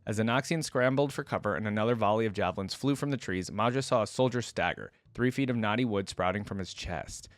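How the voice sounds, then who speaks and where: A man with a fairly low voice, recorded very dry with little room around it. He talks like a casual reaction creator, chatting through his thoughts and reading story text aloud in the same easy register. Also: quick pace, few pauses, no room sound.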